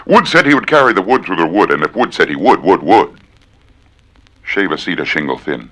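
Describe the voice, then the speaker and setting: A man's voice: low-pitched, big pitch swings, brisk, bright, forceful tone. A man giving a theatrical recitation of wordplay with precise, dramatic enunciation. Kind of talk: theatrical tongue-twister recitation.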